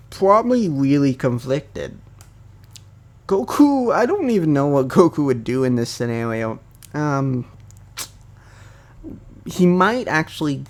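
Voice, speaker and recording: A young man from the United States talking casually through a fan theory, rambling with many fillers and restarts. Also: mid-range voice. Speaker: YouTuber.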